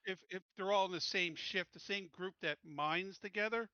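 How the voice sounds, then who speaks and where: A man with a fairly high, bright voice talks through his thinking as a player at a game table. His delivery is casual, and the sound is dry and slightly dull. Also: normal speed, dry recording.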